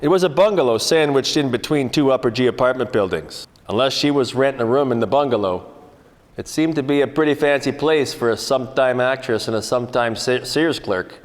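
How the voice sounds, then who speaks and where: An actor playing a wisecracking, world-weary 1940s private eye, narrating in a wry, hard-boiled radio-parody style. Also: male voice, mid-range voice, brisk, natural voice. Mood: wry, tense. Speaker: actor narrating the lead part. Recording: long hall reverb, music underneath.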